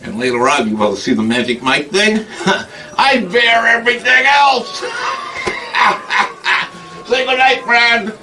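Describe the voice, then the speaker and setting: A man's voice: high voice, lively intonation, slow pace, long pauses, pushed and bright, shouting, recorded from a distance, slight background noise, pitched above the performer's own voice, exaggerated voice, poor audio. A man doing a boastful comic Igor. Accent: put-on broken English. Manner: broken grammar, frequent laughing. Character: foreign male hunchback-type comic Igor, boastful. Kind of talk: comic monologue.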